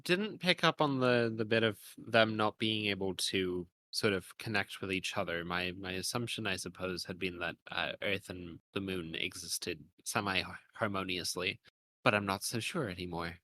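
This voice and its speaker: A man's voice: low voice, moderate pace, sharp tone, a little hiss or hum. A man hosting a podcast in an analytical, conversational style, thoughtfully and somewhat hesitantly feeling out his ideas as he speaks. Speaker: podcast host. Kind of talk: conversational analytical discussion of a visual novel.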